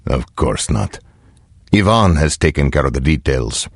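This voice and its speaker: A man playing a calculating, composed general in a video game cutscene, speaking in a formal, measured, authoritative way. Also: rumbling low, sing-song, husky, dry recording, clean studio sound, full character voice. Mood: matter-of-fact, commanding. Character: calculating, composed male general giving covert orders.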